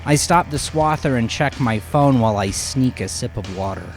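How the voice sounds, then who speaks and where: A man's voice: mid-range voice, lively intonation, normal speed, faint music behind. A man narrating a first-person story expressively, acting out the storyteller's reactions as he goes. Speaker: author narrating own horror story.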